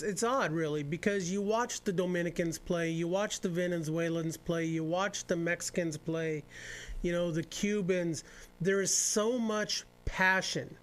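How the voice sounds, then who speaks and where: A man hosting a radio baseball show, interviewing a guest in a chatty, rambling way with lots of fillers. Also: high voice.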